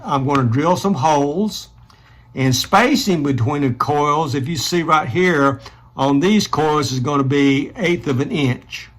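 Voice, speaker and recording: A man hosting a casual hands-on DIY tutorial, explaining step by step in an unhurried way. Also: medium pitch, fairly bright tone. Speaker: DIY hobbyist host.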